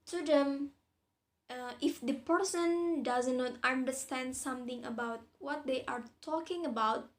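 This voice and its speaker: A young woman with an Indonesian accent, reading a class presentation slowly and deliberately. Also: high voice. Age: young adult. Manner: slow, deliberate reading with pauses. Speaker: student presenter. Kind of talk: read-aloud academic presentation.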